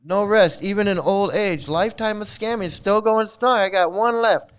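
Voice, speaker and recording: A man's voice: high voice, normal speed, smooth. Profane, scornful middle-aged man ranting. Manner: profane, scornful, repeats words. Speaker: commentary channel host. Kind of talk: casual ranting commentary.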